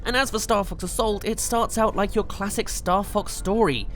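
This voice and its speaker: A narrator presenting a scripted countdown review with emphatic, expressive delivery. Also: mid-range voice, normal speed, faint music behind, low-quality recording. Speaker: video game reviewer, host.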